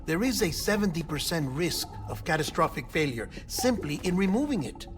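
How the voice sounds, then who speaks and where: An actor playing a smooth-talking, clinical scientist in a cinematic video game, explaining things in theatrical, precise phrasing. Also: male voice, a little high, very animated, moderate pace, over music. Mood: insistent, clinical. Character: fussy, smooth-talking male scientist-doctor, clinical and secretive.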